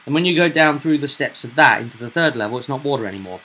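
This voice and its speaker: A man's voice: mid-range voice, lively intonation, quick pace, pushed and bright. A man casually recapping a tabletop roleplaying session as game master, with a storyteller's phrasing and tag questions.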